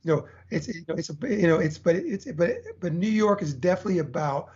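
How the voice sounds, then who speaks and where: Fast-talking, husky man from Texas. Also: fairly high pitch, dull audio. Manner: energetic, rambling storyteller with many restarts. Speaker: podcast guest. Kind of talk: casual interview chat.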